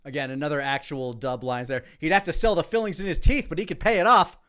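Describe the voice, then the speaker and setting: A man's voice: a little high, fairly even intonation, fast, a bit bright. A man giving casual creator commentary in a rambling, profane, conversational way. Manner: rambling, profane, quotes lines aloud.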